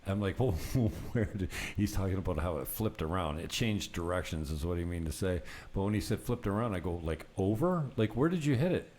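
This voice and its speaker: A man's voice: low-pitched, lively intonation, brisk, few pauses, darkish tone. A man hosting a podcast in casual chat, speaking bluntly and informally with some swearing. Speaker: podcast host.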